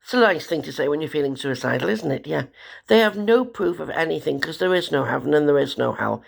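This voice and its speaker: A woman, hoarse from a cold, talking in a despairing, rambling way about her troubles. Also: sits low, expressive, fast talker. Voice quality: gravelly. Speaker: vlogger. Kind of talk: rambling personal monologue.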